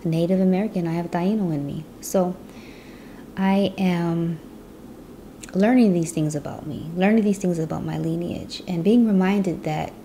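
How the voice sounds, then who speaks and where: A woman's voice: fairly low pitch, normal speed, warm tone, a little hiss or hum. A woman from the US Midwest telling her personal story in a chatty vlog, with lots of "you know". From Chicago, US Midwest. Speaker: vlogger.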